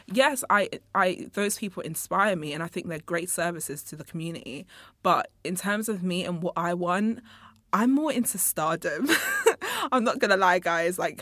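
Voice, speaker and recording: A woman's voice: fairly low pitch, a bit bright, no room sound. A young woman from Congo, raised in England, hosting her own podcast in a studio, chatting in a rambling way straight to her listeners. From born in Congo, raised in England. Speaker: podcast host. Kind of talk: casual solo podcast monologue.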